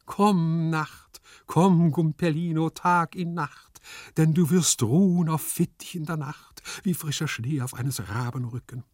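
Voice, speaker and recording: A man's voice: on the high side, a little slow, a little mellow, dry recording, studio quality, pitched above the performer's own voice, close to an everyday voice. A man voicing a corpulent, lovesick storybook nobleman who sighs and declaims with theatrical sentimentality. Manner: pathetic declamation, sighing, swooning.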